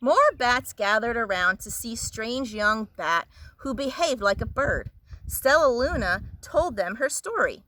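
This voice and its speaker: Animated, bright-voiced woman storyteller. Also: a little high, measured pace, no echo, rough recording. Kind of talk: expressive read-aloud of a children's story.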